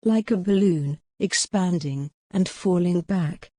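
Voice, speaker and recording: A woman leading a guided mindfulness meditation in a gentle, soothing, measured way with long pauses. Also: lowish voice, dry recording, studio quality.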